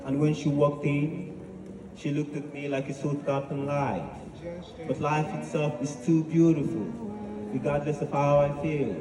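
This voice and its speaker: Echoey, higher-voiced man delivering solemn spoken word. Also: level tone. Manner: solemn, deliberate, declamatory with pauses. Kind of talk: poetic dramatic monologue, oratory. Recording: echoey, distant voice with music behind. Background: music.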